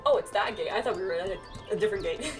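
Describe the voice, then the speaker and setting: A woman's voice: medium pitch, lively intonation, very fast. A woman chatting casually through a game playthrough, reading the on-screen text aloud and stumbling now and then. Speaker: game streamer doing a playthrough. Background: game music.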